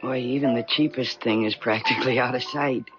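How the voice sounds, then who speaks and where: An actress playing a flustered, dreamy secretary with a husky voice and halting delivery in 1970s radio drama. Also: female voice, extremely low pitch, steady tone, over music. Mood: bashful. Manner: halting, distracted. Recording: dull 1970s radio recording.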